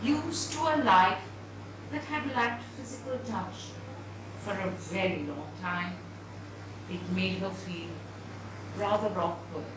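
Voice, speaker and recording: Female story reader, slow and measured, recorded from a distance with clear background noise. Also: mid-range voice. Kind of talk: dramatised literary reading, narration. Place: live reading at an indoor event venue. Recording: very echoey hall, distant mic, noisy.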